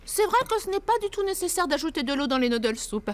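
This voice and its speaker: A woman voicing a gentle, affectionate mother in an animated cartoon. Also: high voice, fast, slight background noise. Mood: curious.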